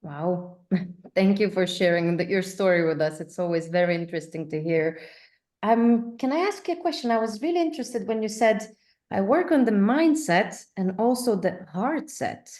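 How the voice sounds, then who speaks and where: A woman's voice: medium pitch, lively intonation, moderate pace, darkish tone. A woman co-host asks follow-up questions in an easy, curious way. Her voice sits in a mid range, and she laughs lightly as she talks. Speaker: interview co-host. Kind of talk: conversational interview questions.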